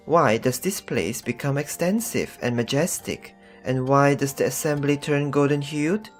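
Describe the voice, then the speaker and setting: A man's voice: mid-range voice, measured pace, close to an everyday voice. A man playing a reverent, inquiring disciple in a scripture audio drama, speaking formally. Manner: formal, respectful questioning. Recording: background music under the voice.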